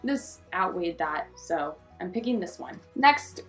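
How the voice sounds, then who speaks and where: A woman's voice: fairly low pitch, very animated, a little slow, fairly bright tone, faint music behind. A woman talking casually to viewers as a book vlogger, informal and effusive, with emphatic repetition. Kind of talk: casual chatty video talk to viewers.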